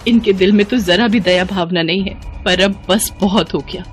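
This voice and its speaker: A woman's voice: mid-range voice, moderate pace, faint music behind, natural voice. A woman acting a meek, mistreated young daughter-in-law in a moral story, with a plaintive, earnest manner. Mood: despairing.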